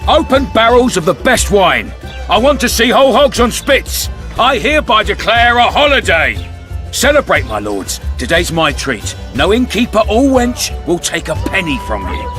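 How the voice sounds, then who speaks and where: Game noble: pompous town lord, high forceful voice, declaiming with big melodic swings. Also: male voice, big pitch swings, normal speed, faint music behind. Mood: festive, proclaiming. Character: pompous, booming male town nobleman addressing a crowd. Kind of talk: video game voice acting.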